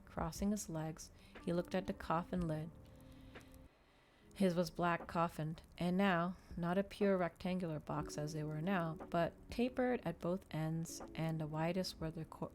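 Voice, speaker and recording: Low-pitched woman reading an ASMR audiobook. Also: darkish tone. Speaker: streamer reading aloud. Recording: noticeable background noise.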